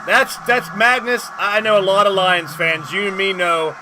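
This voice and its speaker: A man hosting a live sports stream, calling plays and chatting casually with viewers, getting excitable on big plays. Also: sits high, moderate pace, background noise. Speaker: livestream host and play-by-play commentator.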